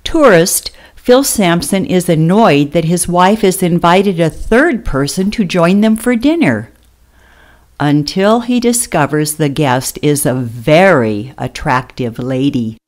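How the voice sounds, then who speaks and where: Radio theatre narrator: female, low register, measured pace, scripted stage directions. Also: lively intonation, a little mellow.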